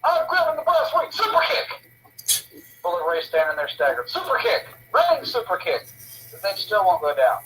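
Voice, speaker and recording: A young man co-hosting a casual wrestling talk show, excitable, swearing freely and acting out moves. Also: sits high, normal speed, a little hiss or hum, rough recording. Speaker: co-host. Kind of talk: casual wrestling podcast chat.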